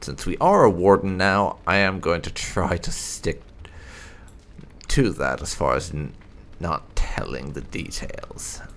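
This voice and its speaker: A man hosting a roleplay video game playthrough, speaking in a measured, theatrical, drawn-out way. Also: deep voice, lively intonation, gravelly, a bit bright. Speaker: gaming video host. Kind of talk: roleplay video game commentary, voicing own character's lines.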